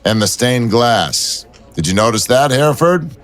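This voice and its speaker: An actor playing a wry, persistent city detective who talks in dry, teasing banter. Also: male voice, mid-range voice, animated, moderate pace, soft background music, natural voice.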